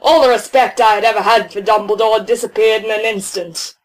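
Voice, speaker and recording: A woman's voice: mid-range voice, fairly even intonation, a little fast, rough recording. A woman narrating a scripted first-person story.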